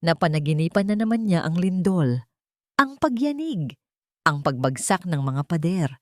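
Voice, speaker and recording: Female audiobook narrator, lower register, dramatic storytelling, dry recording. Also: sing-song, normal speed, no room sound.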